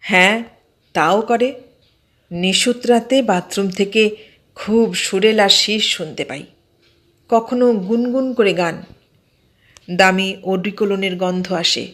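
A woman voicing a mild, stubbornly sceptical man in an audio story, explaining things in a measured, matter-of-fact way. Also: mid-range voice, a bit bright.

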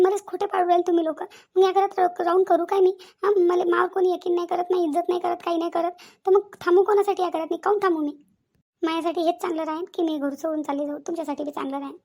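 Woman acting a very high-pitched, aggrieved young wife. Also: creaky, a little mellow. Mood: resolute, hurt. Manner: repeats phrases insistently, rhetorical questions. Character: aggrieved young daughter-in-law, tearful, stubborn, complaining. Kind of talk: Marathi cartoon family comedy-drama acting.

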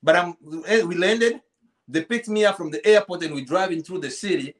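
High-pitched Central African man telling stories. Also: brisk. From Congo. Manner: repeats phrases, acts out dialogue. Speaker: podcast guest, poet. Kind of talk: animated personal storytelling in casual chat. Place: small indoor room at home, video call.

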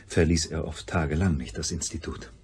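An actor playing a guarded, self-righteous institute scientist in a crime radio play, formal and defensive. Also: male voice, very deep voice, fairly even intonation, normal speed, a little mellow, a little hiss or hum.

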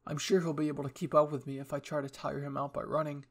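Young man narrating, creaky higher voice. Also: flat delivery, fast. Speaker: narrator, channel host. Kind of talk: scripted story narration.

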